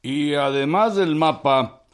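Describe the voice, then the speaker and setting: An actor playing a state governor in a radio drama, authoritative and businesslike. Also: male voice, a little high, slow pace, clear, resonant tone. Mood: official, brisk.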